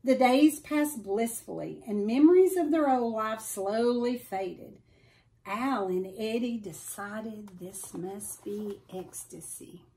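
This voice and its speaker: A middle-aged woman reading a children's story aloud with deliberate, expressive emphasis. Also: on the high side. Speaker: storybook reader. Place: small furnished room at home.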